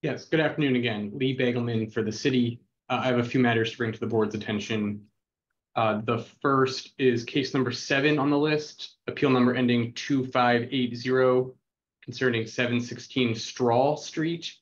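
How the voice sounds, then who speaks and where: A man's voice: medium pitch, steady tone, normal speed, slight background noise. Man on a dull, lo-fi call line. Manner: businesslike, reads case details. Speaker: city attorney. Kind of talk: formal hearing remarks.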